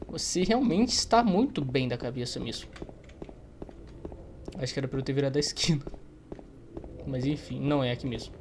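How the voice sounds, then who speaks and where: Young Brazilian man gaming over noisy ambience. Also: on the high side. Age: young adult. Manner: slangy, joking, rambling. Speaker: gaming streamer. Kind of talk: casual gameplay commentary. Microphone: close desk microphone. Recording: noisy, game ambience and typing. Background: game ambience, keyboard typing.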